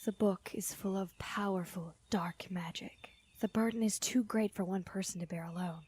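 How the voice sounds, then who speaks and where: A calm but firm mother who guards dark magic played by a woman in a fantasy audio drama. Her medium-pitched voice is serious and deliberate, with a protective weight to it. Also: slightly rough, lightly acted. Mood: grave, gentle.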